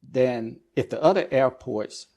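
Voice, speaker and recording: Middle-aged man explaining, deliberate and measured. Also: mid-range voice, a little mellow. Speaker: guest expert, air traffic controller and business executive. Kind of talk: explanatory interview talk.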